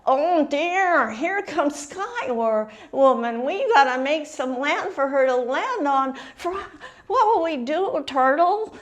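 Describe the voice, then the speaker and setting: Woman playing a male coyote, excitable, exclaiming, high-pitched, folk tale character. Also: slow pace. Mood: alarmed. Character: sharp-eyed male coyote, excitable trickster.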